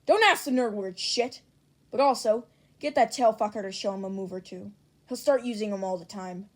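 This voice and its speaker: A woman's voice: medium pitch, moderate pace, lightly acted. A woman voicing a foul-mouthed, irritable teenage boy hero in an audiobook, aggressive and curt. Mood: irritated.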